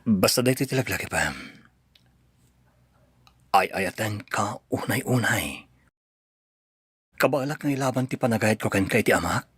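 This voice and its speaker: An actor with a Filipino accent playing an earnest, devoted young husband in an Ilocano radio drama, with a pleading, melodramatic delivery. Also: male voice, lowish voice, measured pace, pauses often, a bit bright, slightly rough, no echo. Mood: tender, hurt.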